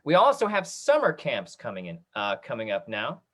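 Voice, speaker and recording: The host of an online theater talkback speaks in a mid-range voice with very lively, swinging intonation. The delivery is casual and encouraging, coming over a video call. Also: medium pitch, moderate pace.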